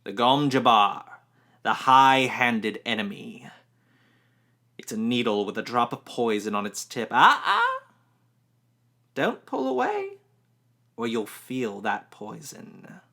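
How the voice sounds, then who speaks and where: A man voicing an ancient, stern woman who tests a boy with slow, commanding menace. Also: mid-range voice, very animated, creaky, bright, forceful tone, exaggerated voice.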